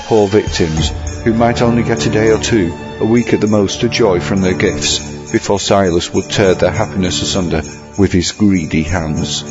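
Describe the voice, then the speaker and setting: Deep-voiced male storyteller over music. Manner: formal, measured literary storytelling. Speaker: story narrator. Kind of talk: scripted storybook horror narration. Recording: muffled lo-fi, music underneath.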